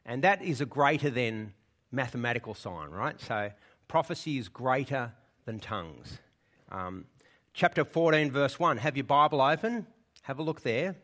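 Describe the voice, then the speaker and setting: A man's voice: medium pitch, expressive, moderate pace, fairly bright tone. A man preaching a sermon in church, explaining the passage in a conversational way and often checking in with his listeners. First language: English.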